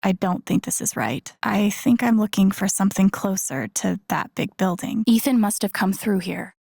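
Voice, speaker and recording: An actress voicing a wry, caring young woman in a video game, muttering to herself in a soft, understated, dryly musing way. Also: mid-range voice, moderate pace, gravelly, husky, no echo, clean studio sound. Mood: focused musing. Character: young woman, wry, caring, thinking aloud while searching. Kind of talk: video game voice acting, muttered self-talk while exploring.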